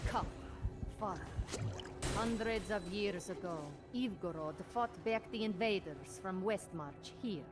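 A woman's voice: mid-range voice, measured pace, close to an everyday voice. An actress playing an earnest, devout female warrior monk in a fantasy video game, speaking formally. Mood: resolute. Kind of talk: dramatic scripted video game dialogue. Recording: loud game music behind the voice.

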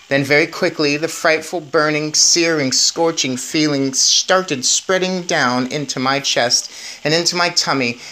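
A man's voice: a little high, bright, forceful tone. A man in his thirties reading a children's novel aloud with expression. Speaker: story reader.

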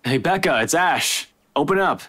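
An actor playing an earnest, worried young police officer in a visual novel game. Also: male voice, fairly high pitch, expressive, moderate pace, a bit bright, a little hiss or hum. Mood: worried, urgent.

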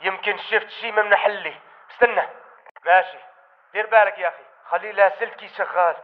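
A male actor plays a wary militant on guard in a war game, in a high voice with a Levantine accent. He fires off terse lines quickly, and the sound is dull and muffled. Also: fairly even intonation, lightly acted. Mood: watchful. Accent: Levantine Arabic. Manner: clipped, terse. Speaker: enemy soldier voice in a shooter game. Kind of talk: patrol chatter, short barks.

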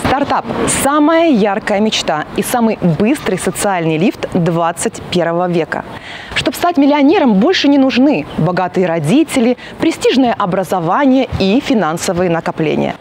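A woman's voice: fairly high pitch, a little mellow, a little hiss or hum. A woman hosting a show, reading a scripted reality show narration.

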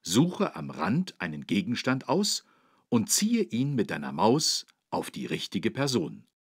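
A man with a low, husky voice narrates for young children. His delivery is lively and encouraging, carefully phrased, and recorded in a dry studio. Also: measured pace, dry recording. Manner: clearly phrased, encouraging, animated. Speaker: narrator of a children's learning game.

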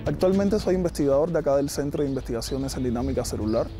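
A man's voice: mid-range voice, expressive, quick pace. A man in his thirties with a Cuban accent, a research scientist explaining things in a documentary interview, recorded on a lapel mic. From Cuba. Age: 30s. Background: background music.

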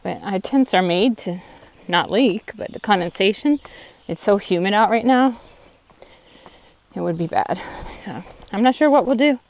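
A woman's voice: mid-range voice, lively intonation, moderate pace, a little mellow. A woman hiker talking casually and candidly to a handheld phone camera while walking a forest trail outdoors. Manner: chatty, candid, a little tired. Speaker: thru-hiker vlogger. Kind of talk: casual walking vlog narration.

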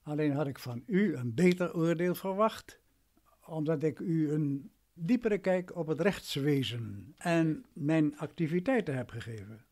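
Radio play role: condescending, domineering male lawyer, highish voice with very animated intonation. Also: a little slow. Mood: self-justifying. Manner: patronising, imperious.

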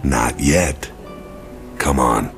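An actor playing a battle-hardened soldier squad leader who gives curt, gruff orders. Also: male voice, extremely slow, over music, heavily acted. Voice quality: deep gravelly voice.

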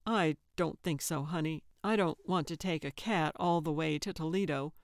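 A woman's voice: low-pitched, normal speed, no room sound, studio quality. A woman voicing a mild, reluctant father with a polite, plainspoken manner in an audiobook. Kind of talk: audiobook character voice.

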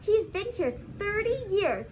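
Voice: extremely high pitch, sing-song, unhurried, noisy background, heavily acted. A young teen performer playing an earnest, puzzled puppet in a comedy skit. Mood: solemn. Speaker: student puppeteer in a school drama show.

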